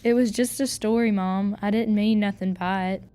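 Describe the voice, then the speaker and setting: A woman's voice: medium pitch, fairly even intonation, moderate pace, warm tone, clear, resonant tone, no echo, close to an everyday voice. A woman playing a plucky fourteen-year-old Irish servant girl in a radio drama, earnest, deferential and folksy. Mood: apologetic.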